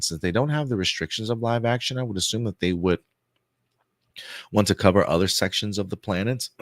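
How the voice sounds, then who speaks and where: A man hosting a live pop-culture news stream, talking casually with loose fillers and repeats, his voice a bit hoarse. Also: low voice, a bit bright, no room sound, clean studio sound. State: voice getting hoarse.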